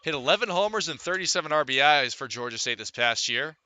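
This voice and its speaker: An American man calling baseball live as a radio play-by-play announcer, reciting statistics between pitch calls. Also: animated, fast, pushed and bright, dry recording. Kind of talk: live sports commentary.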